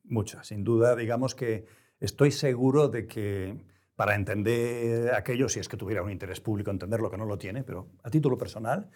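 A middle-aged man who is a writer, talking reflectively in an interview, choosing his words with pauses and restarts. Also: fairly low pitch, a little mellow. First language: Spanish. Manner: measured, searching for words, frequent restarts. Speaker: novelist, interview guest.

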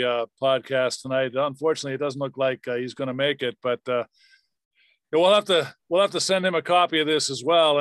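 A man's voice: on the high side, a bit bright, no room sound. A man hosting a podcast, talking conversationally with his guests. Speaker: podcast host, former radio play-by-play announcer.

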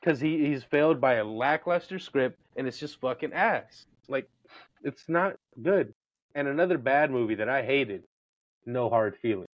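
A man's voice: medium pitch, expressive, moderate pace, no echo, muffled recording. A man co-hosting a casual movie podcast, blunt and emphatic, hammering his opinions by repeating them. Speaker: podcast co-host. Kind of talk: casual movie-review banter.

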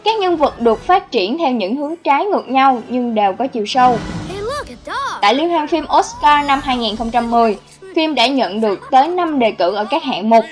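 A woman's voice: sits high, lively intonation, normal speed. A woman reading scripted narration as the host of a countdown video. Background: background music.

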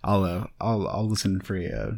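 A man's voice: low voice, big pitch swings, normal speed, creaky, darkish tone. A man hosting a casual podcast, teasing his guest and swearing freely. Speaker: podcast host.

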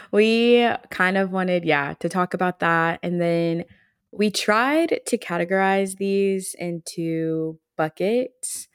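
A woman in her twenties co-hosting a podcast, chatting in a relaxed, reflective way and laughing often. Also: fairly low pitch, slow pace, smooth, dry recording, studio quality. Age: 20s. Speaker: podcast co-host. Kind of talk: casual chat.

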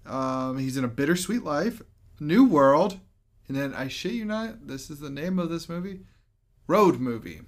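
A man's voice: fairly high pitch, expressive, normal speed. A man co-hosting a podcast, chatting casually about a movie, reading off credits and review scores with frequent laughs. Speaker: podcast co-host.

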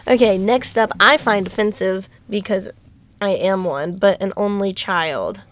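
A young woman from Alabama with a high-pitched voice, hosting a casual podcast and rambling with giggles. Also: unhurried. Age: young adult. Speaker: podcast host.

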